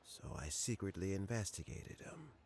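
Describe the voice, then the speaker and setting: An actor voicing a weary, courteous elderly government official in a video game, speaking in a measured, formal and wistful way. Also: male voice, deep voice, very animated, gravelly, darkish tone, a little hiss or hum, exaggerated voice. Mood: weary, regretful.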